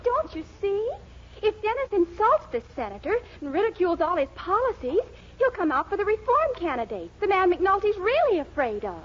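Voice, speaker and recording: A sharp, bossy young woman in 1940s radio comedy. Her voice is very high and decisive. The old recording is dull and very noisy. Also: sing-song, normal speed. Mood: decisive, scheming. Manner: incredulous, decisive.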